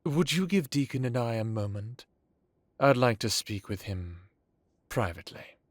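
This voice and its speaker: A man playing an ancient, courtly vampire lord in an audio drama, calmly authoritative, with formal, genteel phrasing. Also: mid-range voice, moderate pace, dry recording, studio quality. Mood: gracious.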